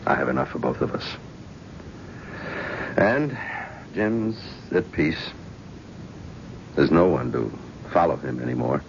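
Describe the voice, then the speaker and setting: A gruff, loyal friend with a very deep, gravelly voice and a blunt manner. The recording is muffled old radio with faint hiss. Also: male voice, very animated, slow, pauses often, exaggerated voice. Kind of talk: 1970s radio drama acting.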